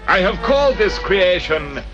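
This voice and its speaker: An actor playing a flamboyant, self-important fashion designer with a French accent, grandly addressing guests in an old-time radio drama. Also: male voice, high voice, very animated, a little slow, highs cut off. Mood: grand, gushing. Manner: grandly theatrical, gushing. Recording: old radio show with loud music.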